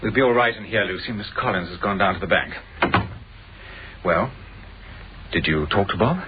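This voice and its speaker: An actor playing an eager, aggrieved but outwardly polite employee in a radio drama, with a British accent. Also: male voice, low voice, lively intonation, moderate pace. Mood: urgent, hushed. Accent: British English. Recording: dull, band-limited, faintly noisy radio sound.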